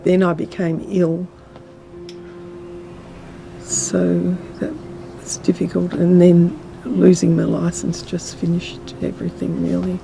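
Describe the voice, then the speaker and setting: Older woman, gravelly and slow. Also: lowish voice, completely flat delivery, dark tone, faint music behind, rough recording. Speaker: interviewee. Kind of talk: reflective personal account.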